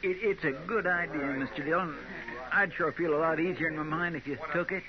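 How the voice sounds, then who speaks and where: An actor playing a loyal, deferential, anxious deputy with a folksy manner in a 1950s radio western. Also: male voice, fairly high pitch, fairly even intonation, fairly quick, crowd noise, natural voice. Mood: uneasy. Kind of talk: 1950s radio drama acting. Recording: very noisy, dull old radio recording.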